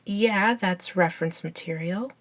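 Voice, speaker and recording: A woman voicing an eager, curious, nerdy young best friend in a scripted ASMR roleplay, chatting and explaining in an unhurried, softly enthusiastic way. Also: mid-range voice, close to an everyday voice. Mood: earnest, curious. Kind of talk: ASMR roleplay, scripted one-sided conversation.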